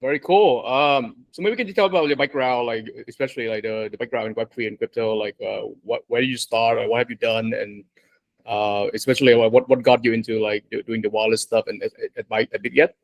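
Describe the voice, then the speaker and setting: A man hosting a podcast interview, talking haltingly with many repeated words and fillers. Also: mid-range voice, no echo, dull audio. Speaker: podcast host.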